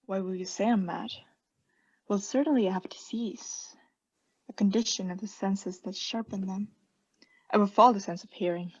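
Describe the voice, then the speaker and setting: Condemned murderess, radio drama, obsessive, halting, hushed theatrical delivery, mid-pitched, slightly dull sound. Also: female voice, fairly even intonation. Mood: defensive. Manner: intense, halting, dropping into breathy hushed near-whispers. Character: mad condemned murderess, obsessive, insisting she is sane. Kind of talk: theatrical radio play monologue.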